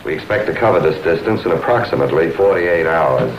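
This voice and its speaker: A man's voice: sits low, animated, fairly quick. An actor playing an authoritative male rocket mission leader in a formal, commanding 1950s science fiction film style. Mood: formal, assured. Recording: noisy, dull, echoey 1950s film soundtrack.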